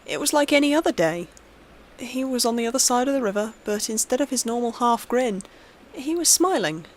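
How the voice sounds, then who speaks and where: A woman's voice: a little high, a little hiss or hum. A woman reading a scripted horror story aloud as its narrator.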